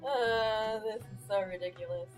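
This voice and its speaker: A woman's voice: mid-range voice, fairly even intonation, unhurried. A woman game streamer giving casual, reactive let's-play commentary with a giggly manner. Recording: game music behind the voice.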